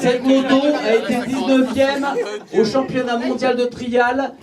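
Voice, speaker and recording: High-voiced man over a loud party crowd. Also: flat delivery, measured pace. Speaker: announcer of a birthday gift. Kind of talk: informal speech to party guests. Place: lively birthday gathering. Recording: distant mic amid loud party crowd.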